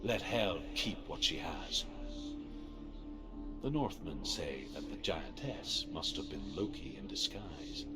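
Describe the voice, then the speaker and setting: A man playing a solemn storyteller of old Norse myths in a video game, narrating in a grave, measured way. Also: rumbling low, expressive, loud music behind the voice, heavily acted. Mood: dramatic.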